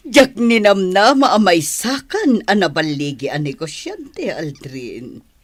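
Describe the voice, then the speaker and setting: An actress with an Ilocano accent playing a caring grandmother in a radio drama, expressive and motherly in her delivery. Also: on the low side, takes it slowly, no room sound, full character voice. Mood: warm, approving.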